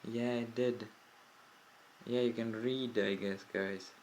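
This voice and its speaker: A man's voice: mid-range voice, fairly even intonation, slow, a little mellow. A young man with a Czech accent, his first language, talking through a computer install in a casual, rambling way and often correcting himself. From Czech Republic. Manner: rambling, self-correcting, mutters asides to the camera. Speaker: tech hobbyist demonstrating an install. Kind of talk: casual tutorial commentary. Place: indoor room at a desk by a window. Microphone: old smartphone camera mic. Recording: lo-fi old phone recording, faint room noise.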